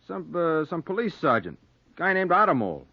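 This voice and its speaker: A man's voice: on the high side, big pitch swings, moderate pace. An American actor playing an eager, gossipy newsroom errand man in a 1940s radio drama. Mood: excited. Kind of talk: 1940s radio noir acting. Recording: muffled 1940s radio recording.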